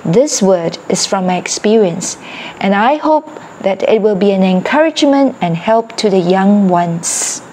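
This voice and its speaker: A woman reading a ministry passage aloud in a slow, earnest, deliberate way. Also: mid-range voice, expressive, darkish tone, a little hiss or hum. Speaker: reader of a ministry excerpt.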